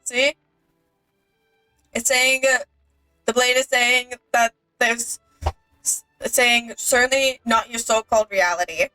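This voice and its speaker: A woman giving casual commentary on a game, explaining things in a halting way. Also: on the high side, fairly even intonation, bright, forceful tone, rough recording. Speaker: gaming companion. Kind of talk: casual let's play commentary. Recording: game music behind the voice.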